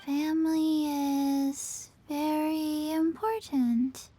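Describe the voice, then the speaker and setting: A woman voicing a small, placid undead girl in a video game, deadpan and speaking in short simple sentences. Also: high-pitched, drawn-out words, slight background noise. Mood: placid, blank. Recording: faint music behind the voice.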